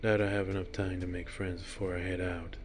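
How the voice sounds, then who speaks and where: A man's voice: deep voice, little pitch movement, a little fast, lightly acted. A man voicing a reserved, businesslike male traveler in a story, speaking in terse, understated phrases. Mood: reluctant, matter-of-fact. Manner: terse, clipped sentences, understated.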